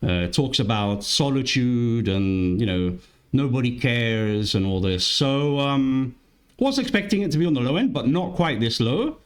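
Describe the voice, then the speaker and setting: A man's voice: medium pitch, expressive, measured pace. A man whose first language is Italian hosts a music reaction channel, giving casual, musing commentary that often restarts mid-sentence. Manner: chatty and musing, with frequent restarts.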